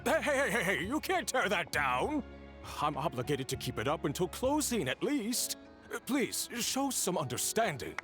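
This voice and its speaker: Fawning male desk clerk in a game, smooth, obsequious, wildly swinging pitch. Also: huge pitch swings, normal speed, fairly bright tone, faint music behind, exaggerated voice. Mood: flustered. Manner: fawning and smooth, flustered when pressed.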